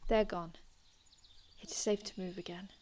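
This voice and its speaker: A woman playing an aloof, formal male vampire healer from another time in an ASMR roleplay, speaking in a measured, haughty, hushed manner with archaic phrasing. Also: mid-range voice, steady tone, close to an everyday voice. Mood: cool, detached. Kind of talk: ASMR roleplay, scripted in-character monologue.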